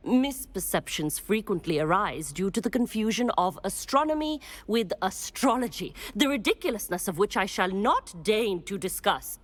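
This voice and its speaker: An actress playing a proud, precise astronomy professor, prim, scholarly and faintly disdainful, in scripted game dialogue. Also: female voice, medium pitch, very animated, moderate pace, hardly any pauses, no echo. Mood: prim, earnest.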